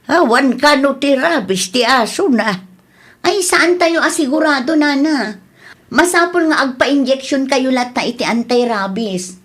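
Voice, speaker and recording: A woman acts out an indignant neighbour in a radio comedy drama. Her voice sits high, with an Ilocano accent. She exclaims and complains as she goes. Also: a little slow. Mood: aggrieved.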